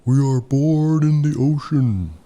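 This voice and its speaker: A man's voice: medium pitch, mellow, pitched above the performer's own voice, natural voice. A man doing a sobbing, soulful beluga whale. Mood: crooning. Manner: sobbing, slow and pitiful. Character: sad trapped male beluga whale, soulful singer.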